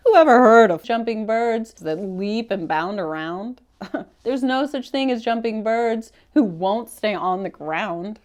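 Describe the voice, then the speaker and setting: A woman's voice: on the high side, expressive, unhurried, highs cut off. A woman voicing a scornful, gleeful bird who jeers at others in a children's storybook. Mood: amused taunting. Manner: teasing, jeering. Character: mocking flock of birds, scornful and gleeful.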